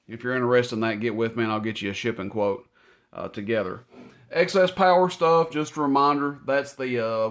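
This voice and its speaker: Man, medium-pitched voice, informal shop owner, casual product rundown, plainspoken, folksy. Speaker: shop owner presenting inventory.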